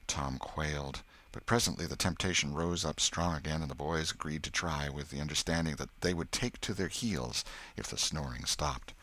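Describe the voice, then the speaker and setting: A man's voice: a bass voice, moderate pace, bright, forceful tone. A man with an American accent narrating a classic novel as an audiobook storyteller.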